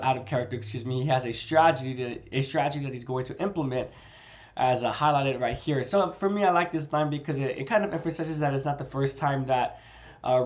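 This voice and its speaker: A man with a cold, sounding congested and a bit nasal, casually discussing a novel chapter and often correcting himself. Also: mid-range voice. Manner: rambling, self-correcting. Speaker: book reviewer.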